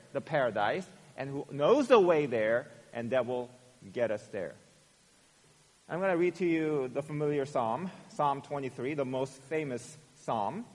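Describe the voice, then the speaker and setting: A man in his forties from South Korea with a Korean accent, preaching a sermon as pastor, earnest, stressing words and then softening at the ends of phrases. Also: on the high side. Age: 40s. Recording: long hall reverb.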